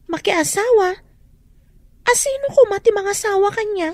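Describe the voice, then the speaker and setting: High-voiced Rita, wary young elder sister. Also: female voice, lively intonation, moderate pace, no room sound. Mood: puzzled, concerned. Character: Rita, young unmarried eldest sister, wary, dutiful, soft-hearted. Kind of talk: Ilocano radio drama acting.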